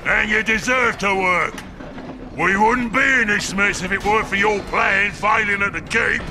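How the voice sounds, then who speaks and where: An actor playing a boastful, greedy, bullying male orc boss with a gravelly voice in a video game. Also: high voice, normal speed. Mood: bossy, smug. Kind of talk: cartoonish video game acting. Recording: music behind the voice.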